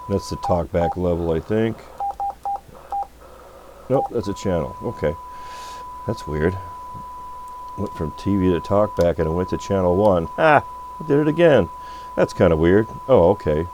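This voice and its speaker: Low voiced guy doing a hands-on demo at his workbench, kinda thinking out loud, noisy hum. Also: lively intonation, a little slow, long pauses. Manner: off-the-cuff, thinking aloud. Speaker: radio repair bench presenter. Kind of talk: unscripted hands-on product demo. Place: electronics repair workbench. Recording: noisy recording with electrical hum.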